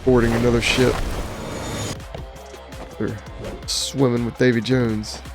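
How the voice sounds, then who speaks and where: A man doing casual let's play commentary, muttering quips to himself in a creaky voice. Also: medium pitch, level tone, slow, over music.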